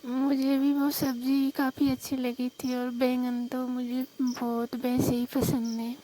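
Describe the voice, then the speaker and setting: A woman playing a shy, hesitant young married village sister-in-law on a staged night phone call, with a Rajasthani accent and a coy, soft-spoken manner. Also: high-pitched, flat delivery, takes it slowly, a little hiss or hum. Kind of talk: staged phone-call role-play in casual talk.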